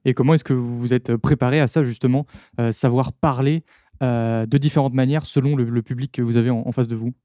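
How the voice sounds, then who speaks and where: Hesitant man interviewer, mellow voice. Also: medium pitch, fairly even intonation, a little fast, dry recording, studio quality. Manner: searching, hesitant phrasing. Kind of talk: interview questions.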